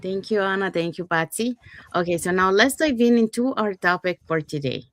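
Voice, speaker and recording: A woman's voice: mid-range voice, normal speed, dry recording. A woman hosting a live online talk show, reading scripted introductions and thanking her co-hosts. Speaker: host.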